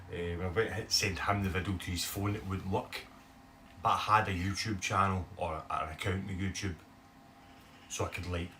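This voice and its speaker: A man with a broad Scottish accent, talking casually to camera for his bass guitar channel, rambling with lots of "eh" fillers. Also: pushed and bright, recorded from a distance, slight background noise. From Scotland. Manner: chatty, rambling, many "eh" fillers. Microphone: phone camera.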